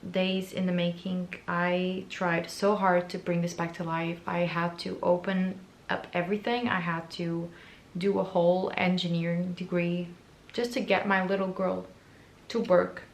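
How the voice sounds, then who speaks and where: A woman in her twenties chatting casually to camera in a book vlog. Her voice is raspy and hoarse from allergies, and she is chatty, excitable and self-mocking. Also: lowish voice, a little slow. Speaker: book vlogger.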